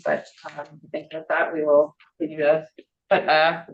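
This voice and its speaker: A woman with a low voice presents to a port board of commissioners. She speaks in a measured, careful way, padding her sentences with ums. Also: level tone, dull audio. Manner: measured, frequent ums and hedging fillers. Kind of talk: public meeting presentation.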